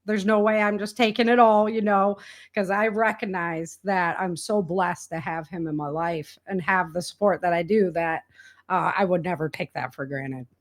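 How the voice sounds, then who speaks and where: A woman's voice: fairly low pitch, fairly even intonation, normal speed. A woman speaking as a guest in a casual interview, with an earnest and enthusiastic way of talking. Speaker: interview guest, author and audio drama producer.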